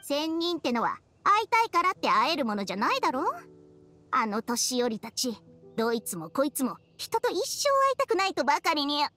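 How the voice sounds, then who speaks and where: A woman's voice: sits high, sing-song, brisk, bright, forceful tone, full character voice. A woman voicing a proud, snippy ancient snake spirit in an anime game, blunt and scolding. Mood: dismissive. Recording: studio voice over background music.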